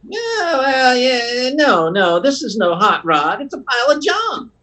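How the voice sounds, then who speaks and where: Guy doing a grumbly middle-aged husband, folksy n flustered, pitch jumps all over, old radio comedy style. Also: big pitch swings, pushed and bright, exaggerated voice. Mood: exasperated. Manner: halting, folksy, exasperated.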